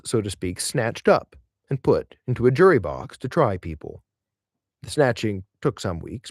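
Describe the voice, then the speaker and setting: A man reading a literary essay aloud in audiobook style, in a measured, expressive way with deliberate emphasis. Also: mid-range voice, no echo, clean studio sound.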